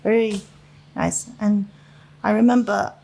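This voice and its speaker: A middle-aged Chinese woman, Chinese her native language, speaking English with a Chinese accent, recalling her youth in an interview in halting phrases with many fillers and small laughs. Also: mid-range voice, steady tone, creaky, warm tone. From China. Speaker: interviewee, winemaker. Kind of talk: personal reminiscence in an interview.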